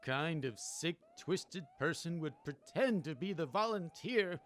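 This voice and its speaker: A man's voice: fairly high pitch, lively intonation, a little slow. A man playing a stern military General in a radio play, speaking formally and with urgent command. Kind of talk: semi-comedic radio play acting.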